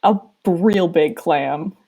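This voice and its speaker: A woman's voice: lowish voice, extremely slow, gravelly, dark tone. A woman co-hosting a story podcast, reacting casually with sceptical questions. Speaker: podcast co-host listening to a story.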